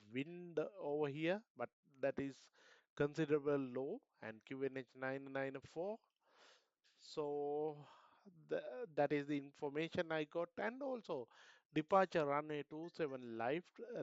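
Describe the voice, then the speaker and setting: A man's voice: a little high, clear, resonant tone, no echo, lo-fi sound. A man with a Sri Lankan accent, thinking aloud hesitantly in casual commentary as a flight simulator streamer.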